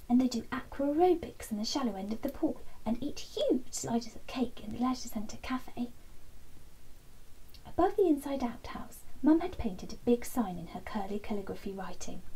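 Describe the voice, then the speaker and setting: Mellow, high-voiced woman reading a bedtime story. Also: breathy edge, rough recording. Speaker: children's bedtime story reader. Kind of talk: storybook read-aloud. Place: small indoor den with fabric and soft toys.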